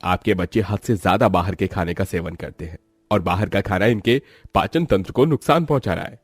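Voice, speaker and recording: A man's voice: low-pitched, a little fast, husky, no room sound. A man voicing a grave doctor who gives emphatic warnings in a moral story. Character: grave male doctor warning worried parents. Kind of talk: acted moral story dialogue.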